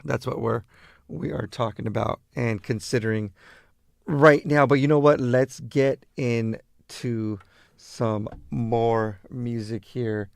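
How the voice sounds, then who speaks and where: A man's voice: medium pitch, fairly even intonation, takes it slowly, gravelly, no echo, clean studio sound. A man from Southern California hosting as a radio disc jockey, chatting in a laid-back, rambling, hesitant way. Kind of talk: laid-back radio chat between records. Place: garage.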